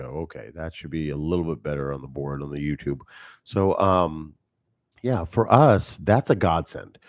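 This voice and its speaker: A man's voice: sits low, darkish tone, no room sound. A man hosting a live podcast, rambling and chatty, talking to his viewers, at times a bit nasal, on a studio mic. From Texas. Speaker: podcast host. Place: home studio. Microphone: studio mic on a mixing board.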